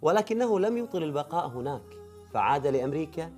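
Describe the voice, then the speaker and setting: A man's voice: fairly high pitch, big pitch swings, very fast, darkish tone, faint music behind. A man hosting a documentary, asking questions and narrating the story. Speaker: host interviewing and narrating.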